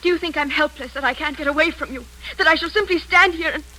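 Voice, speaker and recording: A woman's voice: high-pitched, quick pace, close to an everyday voice. An actress playing a frightened young woman held captive, pleading urgently, in 1940s radio acting style. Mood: frantic. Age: young adult. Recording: hissy old radio broadcast.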